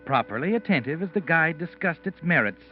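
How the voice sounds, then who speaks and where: A sinister, knowing male storyteller played by a radio actor narrates a 1940s mystery with theatrical menace. His pitch moves dramatically over a dull vintage recording with prominent music behind it. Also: animated, a little fast, close to an everyday voice. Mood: sly, knowing.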